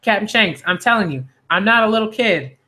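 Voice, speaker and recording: A man's voice: sits high, animated, brisk, pushed and bright, pitched above the performer's own voice. A man reading the part of a stubborn, bragging little village boy from a manga who longs to be a pirate. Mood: frustrated. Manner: defiant, bragging.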